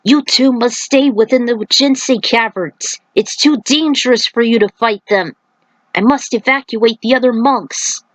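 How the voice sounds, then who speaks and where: A woman acts out an urgent, weakening god of thunder from a fantasy story. He speaks in solemn, emphatic declarations, pitched fairly high, in a dry recording. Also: a little slow, no room sound, lightly acted, poor audio. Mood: urgent, commanding.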